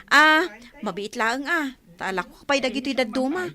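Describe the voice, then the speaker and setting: A woman playing a friendly young shopkeeper in an Ilocano radio comedy drama, animated and coy, with an Ilocano accent. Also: high-pitched, a little slow, full character voice. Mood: friendly, busy.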